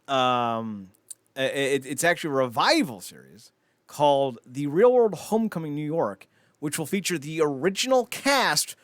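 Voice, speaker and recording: Animated man hosting a comedy podcast. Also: a little high, no echo. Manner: animated riffing, swears casually. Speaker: podcast host. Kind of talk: casual comedic podcast chat.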